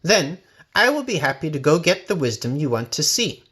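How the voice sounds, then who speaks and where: A man's voice: on the high side, sing-song, normal speed, a bit bright, slightly rough, exaggerated voice. Man playing a shrewd, polite storybook farmer. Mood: wary, tactful. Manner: deferential, explanatory. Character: small male farmer, wary, polite and shrewd. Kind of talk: storybook character voice.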